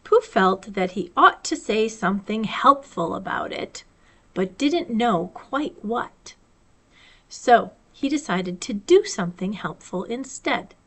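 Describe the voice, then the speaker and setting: A woman reading a children's storybook aloud as a library storyteller, with measured, deliberate phrasing. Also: mid-range voice, lively intonation, a bit bright.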